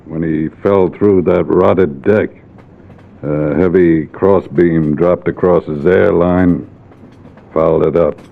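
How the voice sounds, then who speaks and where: Gravelly, very deep old-radio sea captain. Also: male voice, steady tone, slow pace, exaggerated voice. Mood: grim, subdued. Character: gruff weary schooner captain reporting a death. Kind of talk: 1950s radio drama acting. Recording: muffled old radio drama recording.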